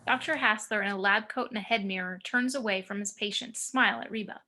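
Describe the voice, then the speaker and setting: Female screenplay narrator, bright, forceful mid-range voice, reading action descriptions. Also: medium pitch, a little fast.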